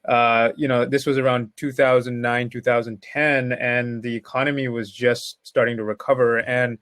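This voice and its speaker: Male panelist from Pakistan, easygoing storyteller, medium pitch, dead-dry sound. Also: normal speed, no room sound. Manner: relaxed, reflective, uses "you know" often. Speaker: panelist, entrepreneur. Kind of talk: conversational storytelling.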